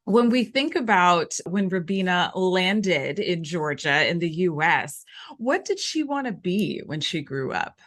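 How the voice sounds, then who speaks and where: Enthusiastic woman interview host, low voice. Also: expressive, a little slow, a bit bright. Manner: enthusiastic and affirming. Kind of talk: hosting an interview.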